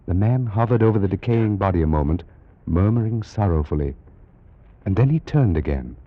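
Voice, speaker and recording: An actor playing an astonished gentleman student of Egyptology, narrating and speaking dramatically in an old-time radio drama. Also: on the low side, lively intonation, a little fast. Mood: hushed awe. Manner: dramatic, stammering when startled. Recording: muffled old radio recording.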